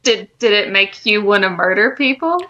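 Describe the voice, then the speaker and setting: A woman's voice: mid-range voice, moderate pace, pushed and bright, dull audio. A young woman co-hosting a podcast with casual, wry, teasing banter. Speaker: podcast co-host.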